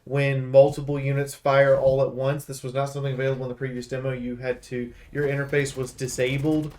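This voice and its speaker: A man giving casual, off-the-cuff commentary as a video game reviewer, talking in an animated, rambling, opinionated way with casual slang. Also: mid-range voice, fairly quick, slight background noise.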